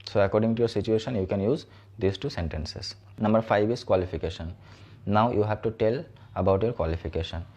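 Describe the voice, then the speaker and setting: Man, low and mellow, teacher who checks in with "right?". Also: brisk, slightly rough. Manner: explains step by step with frequent "so" and "right?". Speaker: English teacher. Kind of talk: spoken English lesson.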